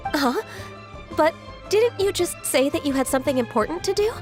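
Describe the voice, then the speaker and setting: An actress voicing a gentle, dutiful young half-immortal secretary in a video game, earnest and polite as she explains. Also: sits high, animated, normal speed. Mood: reassuring, polite. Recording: soft music behind the voice.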